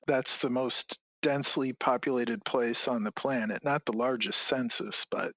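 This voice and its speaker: A man who grew up in Hong Kong and Illinois tells stories in a loose, rambling way. His voice is mid-pitched. The recording is dull and dry. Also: unhurried, fairly bright tone, no room sound. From Hong Kong and central Illinois. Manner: rambling storyteller with frequent "uh" and "um". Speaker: podcast guest, clinical officer. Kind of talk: casual storytelling interview.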